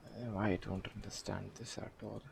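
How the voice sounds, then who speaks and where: A man's voice: fairly low pitch, level tone, normal speed, gravelly, background noise. A man chatting casually on a game livestream, thinking aloud in a hesitant, puzzled way. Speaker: game streamer. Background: faint game music.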